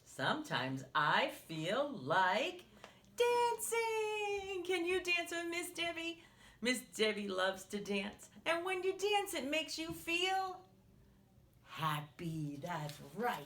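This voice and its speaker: A woman leads a story time for young children, reading in an expressive, exaggerated way. Her pitch swings widely and her pace is slow and deliberate. She keeps turning to the little listeners with questions and leaves space for them to answer. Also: a little hiss or hum. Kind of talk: read-aloud picture book storytelling for young children.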